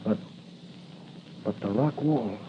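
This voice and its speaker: Resolute radio hero, dull old recording. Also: male voice, lowish voice, takes it slowly, slight background noise. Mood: wary, defiant. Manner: declamatory, resolute. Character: bold, earnest masked crime-fighting hero. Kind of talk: 1940s radio acting.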